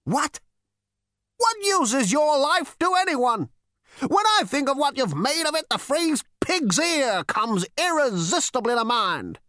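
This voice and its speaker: Woman voicing a tiny hunched old ghost man, shrill, lofty, lecturing, pitch leaping around, dry close sound. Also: big pitch swings, a little slow, fairly bright tone, dry recording, full character voice. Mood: scornful. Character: crotchety ancient male ghost, small, hunched, scolding. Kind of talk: audiobook character voice.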